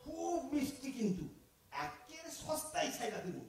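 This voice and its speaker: An actor playing a cheeky, smooth-talking fruit thief with an East Bengali accent, stammering and theatrical in an audio drama. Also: male voice, very high-pitched, very animated, moderate pace, a little hiss or hum, heavily acted. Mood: wheedling. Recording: echoey room from far off.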